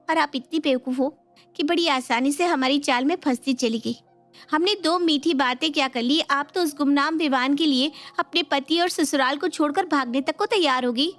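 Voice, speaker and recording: A woman voicing a sharp-tongued young sister-in-law who taunts and scolds in a dramatized moral story. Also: high-pitched, voice pushed up. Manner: mocking, scolding.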